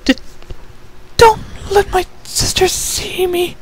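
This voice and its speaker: A man voicing a dying female changeling, tender and self-sacrificing, faint, halting and croaky, in a dramatic story reading. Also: very high-pitched, fairly even intonation, voice pushed up, low-quality recording. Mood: weak, pleading. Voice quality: weak, croaky.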